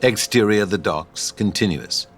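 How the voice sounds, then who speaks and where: A man with a deep voice narrates an audio drama. He reads scripted stage directions, with music playing behind him. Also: unhurried.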